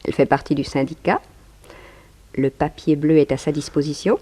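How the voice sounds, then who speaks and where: A woman's voice: deep voice, normal speed, dark tone, slightly rough. A woman playing a poised, knowing character who argues pointedly in a 1960s French radio crime drama. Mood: pointed, assured.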